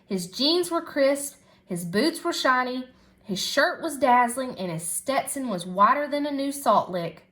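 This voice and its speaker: Female storybook reader, teacherly, high voice with very lively intonation. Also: unhurried. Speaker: schoolteacher reading aloud. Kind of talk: animated children's storybook narration.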